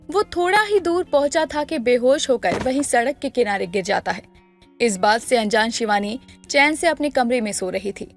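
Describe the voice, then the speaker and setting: High-pitched woman storyteller. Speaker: narrator. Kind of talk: scripted story narration.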